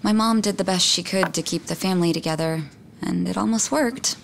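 A woman's voice: fairly low pitch, brisk, creaky, slight background noise. An actress playing a blunt, wounded young woman in a video game, speaking frankly and with emotion. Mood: regretful. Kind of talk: English game voice acting.

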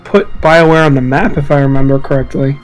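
A man's voice: mid-range voice, steady tone, fairly quick, faint music behind. A man co-hosting a casual podcast about video games over voice chat, talking informally with plenty of swearing. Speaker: podcast co-host. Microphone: voice chat call.

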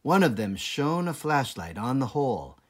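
A man narrating a children's storybook aloud in a dramatic, deliberate manner with suspenseful pauses. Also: medium pitch, big pitch swings. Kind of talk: expressive children's storybook reading.

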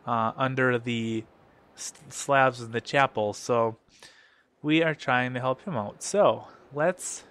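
A man with a slight cold records casual let's play commentary. He talks slowly, drifting through ums and restarts at an ordinary middle pitch. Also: smooth. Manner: relaxed, hesitant, lots of ums. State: has a cold.

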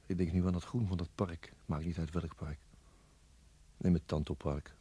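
A man's voice: low-pitched, steady tone, normal speed, warm tone, slightly rough. An actor in a radio drama monologue playing a haunted, brooding man. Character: haunted, brooding man recalling nightmarish images.

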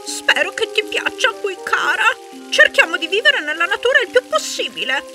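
A woman's voice: very high-pitched, sing-song, moderate pace, far from the mic, over music. A woman playing a sweet-talking, scheming lady explorer in a fairy-tale cartoon, gushing and exaggerated. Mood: gushing, sugary.

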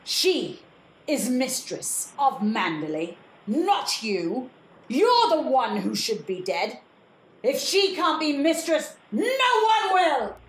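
A menacing, demented housekeeper in an old-time radio play. She speaks slowly and intensely in a high, swooping voice. The recording is echoey with some noise behind it. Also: very animated, exaggerated voice. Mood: menacing, frenzied. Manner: slow, drawn-out, intense delivery. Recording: roomy echo with noise.

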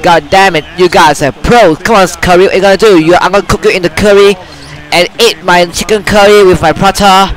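Hyped high-voiced young man trash-talking a game. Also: steady tone, brisk, a faint crowd. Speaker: gamer. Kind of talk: excited gameplay commentary. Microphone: headset.

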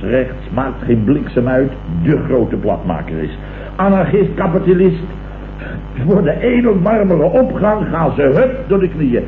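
Muffled old radio comedy: blustering, self-satisfied boss, swooping intonation, echoey room. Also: male voice, mid-range voice. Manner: pompous, self-satisfied, repeats himself. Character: pompous, blustering male company boss, vain and excitable. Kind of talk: 1960s Dutch radio comedy acting. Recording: muffled, hissy, echoey vintage radio recording.

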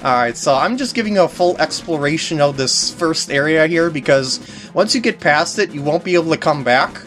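Male gameplay commentator, very lively pitch, rambling and jokey, game music underneath. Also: moderate pace. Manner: jokey, rambling, lapses into silly voices for characters. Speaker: video game walkthrough commentator. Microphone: voice recorded on a compact camera's microphone. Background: video game music.

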